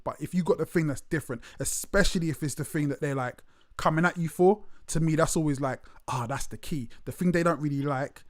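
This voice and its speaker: A man, interviewing a guest in a relaxed, animated way and repeating words as he talks. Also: fairly high pitch, no room sound, clean studio sound. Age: late 30s. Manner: animated, repeats words, lots of slang fillers. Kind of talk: casual interview chat.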